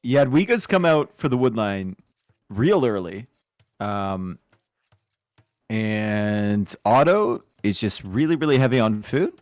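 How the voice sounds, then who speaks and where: A man with a Canadian accent casting a strategy game, joking in relaxed banter. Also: on the low side, takes it slowly, no echo, studio quality. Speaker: game caster. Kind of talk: casual co-casting play-by-play.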